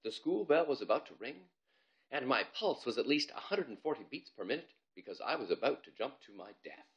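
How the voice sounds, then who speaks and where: A man's voice: medium pitch, sing-song, a little fast, fairly bright tone, lo-fi sound. A man, an author, reading his children's story aloud in a theatrical, comic storytelling manner. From Canada.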